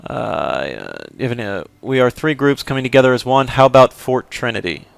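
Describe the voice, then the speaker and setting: A young man commenting on his game in a joking, offhand way, often getting excited. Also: mid-range voice, normal speed, gravelly, dry recording. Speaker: let's play host. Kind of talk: casual gameplay commentary.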